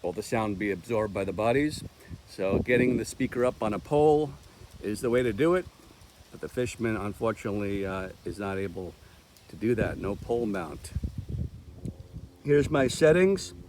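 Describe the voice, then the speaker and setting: A man's voice: lowish voice, animated, moderate pace, noisy background. A man giving a casual, plainspoken gear review vlog outdoors in a park, explaining things as he goes.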